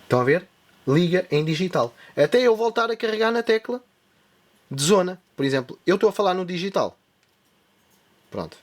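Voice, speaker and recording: Tech tutorial host, a man with a European Portuguese accent, fairly high voice, step-by-step demo. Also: big pitch swings, a little fast, pauses often. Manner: step-by-step explaining while demonstrating. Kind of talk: hands-on device tutorial.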